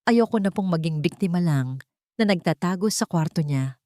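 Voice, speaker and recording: A woman's voice: on the low side, lively intonation, moderate pace, warm tone, no room sound, studio quality, natural voice. A woman with a Filipino accent voicing a wronged daughter who is firm and determined to fight, in an audiobook. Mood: resolute. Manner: firm, resolute.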